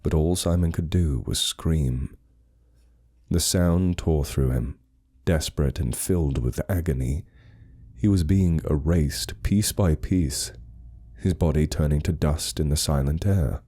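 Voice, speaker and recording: A man's voice: a bass voice, unhurried, dark tone, slightly rough. A man narrating a horror story in a dramatic, scripted storytelling style.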